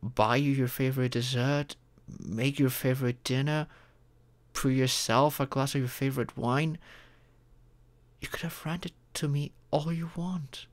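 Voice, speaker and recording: A performer voicing a caring, earnest young romantic partner in an intimate ASMR roleplay, with deliberate pauses. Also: medium pitch, steady tone, natural voice. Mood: hurt, tender.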